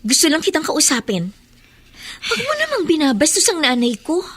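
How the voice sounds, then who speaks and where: A woman's voice: sits high, huge pitch swings, brisk, fairly bright tone, full character voice. A woman playing a protective, fiery young daughter in a radio drama, indignant and emotional, with a Filipino accent. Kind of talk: Tagalog radio drama acting.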